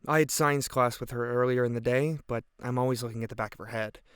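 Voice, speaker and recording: Hesitant, wistful high school boy played by a man, medium pitch, close dry recording, audio play testimony. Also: steady tone, fast talker, clean tone, dry recording, close to an everyday voice. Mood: uneasy. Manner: hesitant, earnest. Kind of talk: naturalistic audio drama police testimony.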